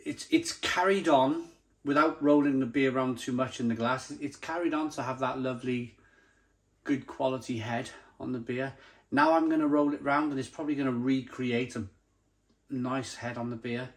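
A man's voice: mid-range voice, fairly even intonation, moderate pace. Enthusiastic man giving a casual tasting review. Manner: enthusiastic, savouring, repeats and dwells on descriptive words. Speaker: beer review channel host.

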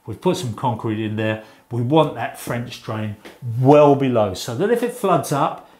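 A man's voice: medium pitch, expressive, measured pace, darkish tone. A middle-aged man giving an informal explanation, conversational in tone and repeating words for emphasis. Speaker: presenter of a home-building advice show. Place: small indoor room, in front of a whiteboard.

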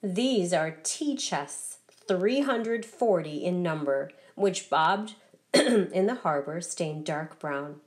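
A woman reading a children's story aloud as scripted narration, in a deliberate, rhythmic recitation. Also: fairly low pitch, animated. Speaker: storybook reader.

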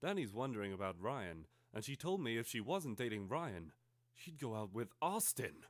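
A man voicing a melodramatic twelve-year-old schoolgirl confiding in her diary, theatrical and overwrought, swinging between hushed and exclaiming. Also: mid-range voice, big pitch swings, moderate pace, no room sound, clean studio sound, lightly acted. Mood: moody, worried. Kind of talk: theatrical dramatic reading of a teenage diary.

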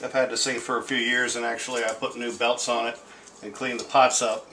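A man's voice: medium pitch, flat delivery, a little fast, pushed and bright, a little hiss or hum. A middle-aged man giving a casual, unscripted equipment demonstration in a rambling, self-deprecating way. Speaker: tape deck seller demonstrating equipment. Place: indoor room at home. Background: music from record and tape playback.

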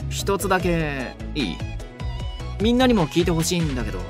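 Man acting young delinquent, defensive, animated intonation, audio drama, faint music. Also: fairly high pitch, a little fast. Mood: serious. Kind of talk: Japanese boys' audio drama.

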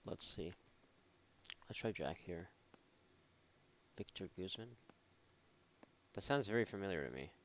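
A man's voice: sits low, expressive, unhurried, pauses often, fairly bright tone, slight background noise. A man doing casual, irreverent let's play commentary, mocking and thinking aloud. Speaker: gaming YouTuber.

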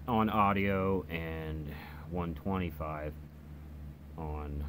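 Male hobby reviewer, extremely low voice, casual and hesitant, home video with clear noise. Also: very slow, fairly bright tone. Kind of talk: casual product demonstration. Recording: noisy home video recording. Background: clear background noise.

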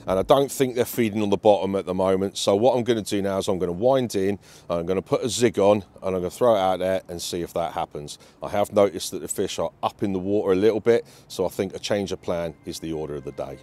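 A man's voice: low voice, quick pace, dark tone. A British man with a British accent, presenting a fishing feature as an angler and explaining things to camera. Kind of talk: instructional talk to camera. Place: outdoors on a lake bank.

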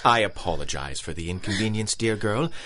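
An actor playing a pompous, coldly courteous colonel and villainous big-game hunter in a radio drama. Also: male voice, fairly low pitch, lively intonation, brisk, fairly bright tone. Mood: coolly polite. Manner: pompous, theatrical, genteel menace.

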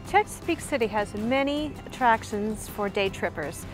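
A middle-aged American woman hosting a scripted travel piece to camera, speaking clearly and deliberately. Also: a little high, animated, over music. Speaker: host, librarian. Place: outdoors in a small waterfront town.

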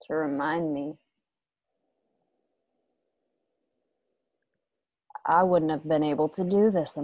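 A woman playing a guarded, grieving widow in a western radio play, speaking in halting, understated phrases. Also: low voice, darkish tone, dry recording, lo-fi sound, full character voice. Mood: subdued, grateful. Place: home, on a group video call.

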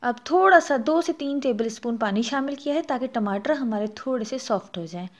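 A woman's voice: a little high, lively intonation, fairly quick. A woman with a Pakistani Urdu accent hosting a cooking channel, narrating a recipe step by step and adding practical tips. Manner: explanatory, instructive, gives practical tips.

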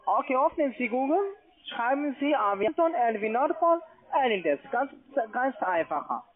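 A man's voice: extremely high pitch, sing-song, normal speed. A man with a foreign accent, a non-native speaker, walking a customer through steps as a call centre agent. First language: not German. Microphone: phone. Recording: dull, lo-fi phone line.

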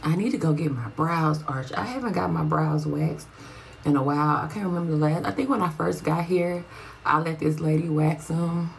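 A woman's voice: deep voice, moderate pace, vocal fry. A woman vlogger chatting casually to the camera, calling viewers y'all. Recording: noisy background.

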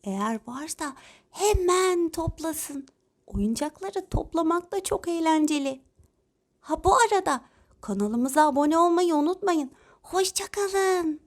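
A woman's voice: very high-pitched, animated, measured pace. A woman narrating scripted children's stories and addressing young listeners in an instructive way. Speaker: narrator and channel presenter.

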